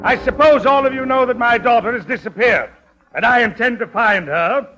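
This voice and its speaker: High-pitched blustering burgomaster. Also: male voice, normal speed, dull audio. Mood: pompous, determined. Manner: pompous, excitable, commanding. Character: blustering, indignant Dutch burgomaster, father of a runaway daughter. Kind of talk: 1940s-50s radio operetta acting. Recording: old radio broadcast with music.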